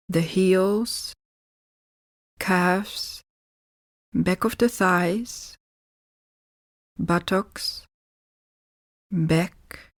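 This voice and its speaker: Female yoga nidra guide, medium pitch, very slow calm delivery with gentle pauses, close dry recording. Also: no room sound. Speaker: yoga nidra meditation teacher. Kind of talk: guided relaxation meditation instruction.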